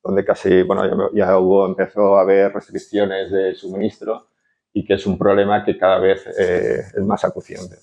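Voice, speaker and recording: A man's voice: deep voice, monotone, moderate pace, vocal fry, a little mellow. A man from Barcelona giving a conference lecture, hesitant, full of fillers and tag questions. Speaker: architect giving a conference talk. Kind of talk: lecture to an audience. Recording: dull lo-fi conference audio.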